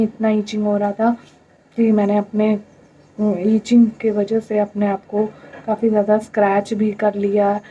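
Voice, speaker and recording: A young Indian woman vlogging to camera, hoarse from a throat infection, speaking in a tired, plaintive way and mixing in English words. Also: medium pitch, warm tone, lo-fi sound. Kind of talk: casual talk to camera.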